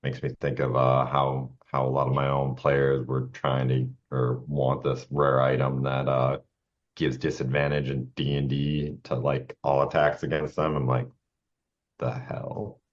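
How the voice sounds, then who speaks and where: A man chatting casually as a player in a tabletop game, hesitant and halting, through a dull lo-fi microphone. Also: very deep voice, completely flat delivery. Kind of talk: casual chat.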